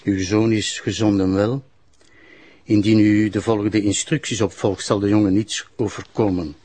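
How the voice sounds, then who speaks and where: A man playing a measured, formal public prosecutor in a crime drama. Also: fairly low pitch, little pitch movement, a little mellow, natural voice. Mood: grave, formal. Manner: composed, formal. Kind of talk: crime audio drama acting.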